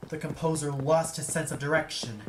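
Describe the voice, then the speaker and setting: A man's voice: fairly high pitch, fairly even intonation, fairly quick. A man narrating an audio play as a dramatic, scripted storyteller. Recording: echoey, with noise behind. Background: ambient sound effects.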